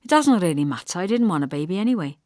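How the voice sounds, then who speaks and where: Lady reading as odd wistful young pregnant wife, brittle n detached, swoopy pitch, audiobook story. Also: very fast, no room sound, studio quality. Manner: flat, detached, drifting from bright to toneless.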